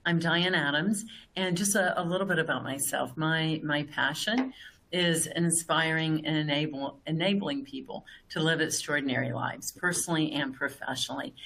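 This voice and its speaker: A woman introduces herself as an HR leader, earnest and often repeating her words. Her voice is very low. Faint noise sits in the background. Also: fairly bright tone. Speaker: panelist, HR leader. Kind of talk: panel introduction.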